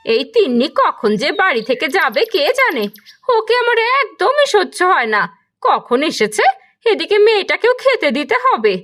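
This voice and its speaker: A woman voicing a stingy, sharp-tongued village wife and mother in a cartoon, with a theatrical delivery. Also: very high voice, sing-song, normal speed, full character voice. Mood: scolding, resentful. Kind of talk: cartoon dialogue acting.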